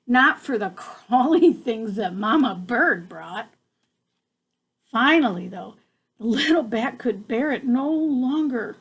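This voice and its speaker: An older woman reading a picture book aloud to a child, expressive, with casual asides and exclamations. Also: on the high side, breathy edge.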